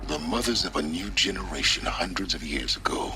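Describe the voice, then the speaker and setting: A man playing a cool, enigmatic older guide in a video game, detached and dry, with measured delivery. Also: low voice, noisy background, close to an everyday voice. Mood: cool, knowing. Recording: music behind the voice.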